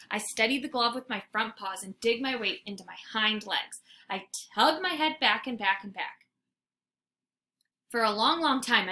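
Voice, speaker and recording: A woman reading a children's story aloud like a teacher, with dramatic, expressive narration. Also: on the high side. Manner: dramatic, animated.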